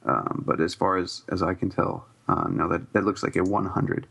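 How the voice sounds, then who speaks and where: Podcast co-host, male, gravelly and husky, casual and hesitant delivery. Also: deep voice, lively intonation, moderate pace, a bit bright. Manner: hedging, thinks aloud with many ums. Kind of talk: casual chat.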